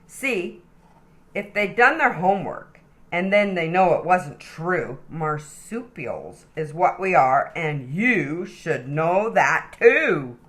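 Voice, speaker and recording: A woman's voice: fairly low pitch, expressive, takes it slowly, fairly bright tone. A woman reading aloud as a friendly male koala in a children's picture book, telling his story in chatty, mildly indignant rhyme.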